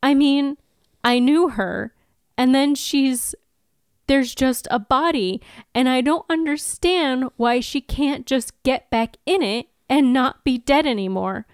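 A woman's voice: high-pitched, normal speed, no room sound, studio quality, pitched above the performer's own voice, close to an everyday voice. A woman voicing a blunt, bewildered young woman who was once a demon and is newly human, asking plain, insistent questions. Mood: distressed.

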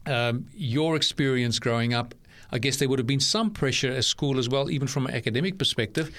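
A man's voice: mid-range voice, fairly quick, a bit bright. A man interviewing guests for a church program, affirming their answers and asking long, winding questions.

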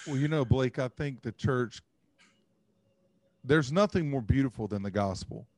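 A man co-hosting a casual interview, asking measured, thoughtful questions. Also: mid-range voice, lively intonation, a little mellow, no room sound. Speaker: co-host.

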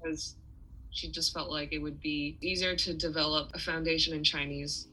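A young woman from Taiwan speaks in a low yet bright, forward voice. She answers interview questions in a loose, chatty way, restarting her sentences and scattering fillers as she goes. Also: little pitch movement, moderate pace, breathy edge, poor audio. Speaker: musician guest.

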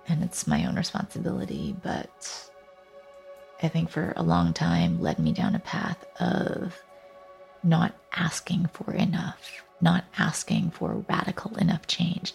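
A woman, from Taiwan and western Canada, giving a reflective personal narrative in a thoughtful, halting way, searching for words. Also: low voice, vocal fry, darkish tone.

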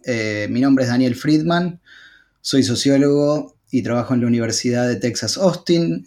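A man with a lilt who hosts a podcast and interviews a guest. His pitch is average for a man. He speaks in a relaxed way and pauses now and then on fillers. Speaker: podcast host and sociologist. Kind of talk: conversational interview.

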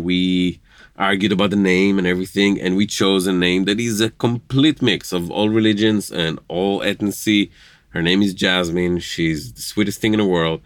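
Israeli guy w a really low voice, earnest podcast chat, dry close sound. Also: fairly bright tone, no echo. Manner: earnest, laughing, broken English phrasing. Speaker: podcast guest. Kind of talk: casual conversation.

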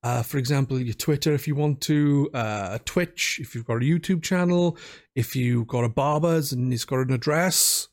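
A man's voice: medium pitch, moderate pace. A man chatting casually as a podcast host about video games, informal and self-deprecating.

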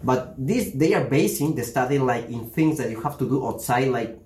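A young man from Ecuador raised in Spain, co-hosting a casual chat in halting English. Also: mid-range voice, lively intonation, a little mellow. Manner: stumbling, halting non-native English. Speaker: podcast co-host. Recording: echoey room.

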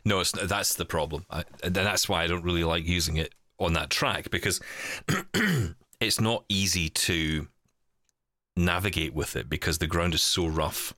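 A man's voice: low voice, steady tone, moderate pace, bright, forceful tone, no room sound, studio quality. A man chatting casually as a podcast host, candid and a little hesitant, often repeating his words. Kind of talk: casual chat.

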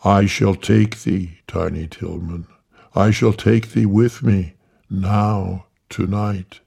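A con man posing as a heavenly messenger, intoning every word slowly and solemnly in a deep, gravelly voice, as a storyteller puts him on. It is low, husky and commanding. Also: steady tone, warm tone, full character voice. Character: trickster posing as a booming archangel.

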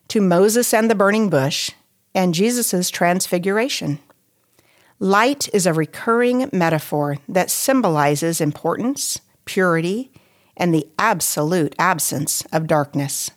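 A woman reading a scripted devotional in a measured, deliberate way with pauses between phrases. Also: lowish voice. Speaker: devotional writer and reader.

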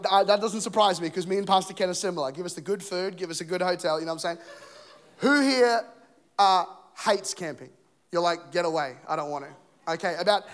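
A young man with an Australian accent, originally from England, preaching a joking, self-deprecating sermon with a slightly rough voice. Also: high voice, fairly bright tone. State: voice a bit rough. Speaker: pastor. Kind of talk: humorous sermon. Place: church auditorium.

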